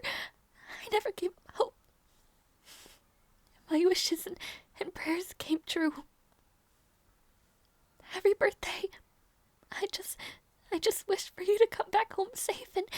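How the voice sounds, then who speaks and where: A gentle young princess, acted by a woman, speaks in a very high, breathy voice for an intimate audio roleplay. She takes her time, faltering and stammering with devoted emotion, often near a whisper. The sound is dry, with no room around it. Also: fairly even intonation, slow pace, long pauses, no room sound. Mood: tender, emotional.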